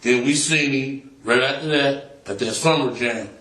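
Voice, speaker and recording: A man's voice: medium pitch, moderate pace. A man being questioned by police, casually recounting memories in profane street slang on a roomy, noisy interview recording. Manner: profane, slangy storytelling, acting out quoted exchanges.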